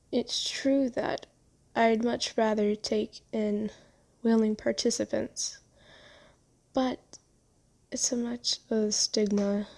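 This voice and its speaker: A woman voicing a kind but possessive female vampire owner in an ASMR roleplay, soothing and genteel, coaxing gently in halting phrases. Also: fairly high pitch, gravelly, exaggerated voice. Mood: genteel, matter-of-fact. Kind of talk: ASMR roleplay, scripted monologue.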